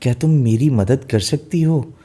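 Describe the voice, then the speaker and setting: A man's voice: medium pitch, measured pace, warm tone, slightly rough, voice pushed up. A man voicing a talking, dried-up male tree in a storybook, earnestly asking questions and pleading for help. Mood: curious, pleading.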